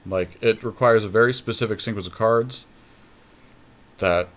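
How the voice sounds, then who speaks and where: A man co-hosting a gaming podcast, talking casually and deliberately as he works out strategy aloud during a card game. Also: fairly low pitch. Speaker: podcast co-host commentating on own card game play.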